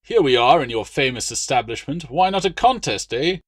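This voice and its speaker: Man acting as overbearing Roman legate, audiobook character, hearty bluster, raised pitch, close dry sound. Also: lively intonation, brisk, breathy edge, no room sound. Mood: jovial, eager. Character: bluff, overbearing aristocratic Roman legate, jovially bloodthirsty.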